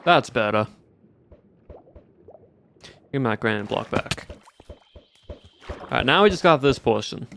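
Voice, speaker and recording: A man's voice: medium pitch, lively intonation, a little slow, gravelly, long pauses, fairly bright tone, slight background noise. A man with a put-on American Southern accent and a lax drawl that draws out sentence endings, chatting casually on a game stream. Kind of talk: casual gameplay livestream chat.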